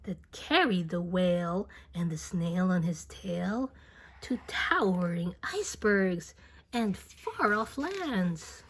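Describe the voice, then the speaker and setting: A woman reading a children's book aloud as expressive rhyming narration, deliberate and singsong, drawing out words. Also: on the low side, slightly rough.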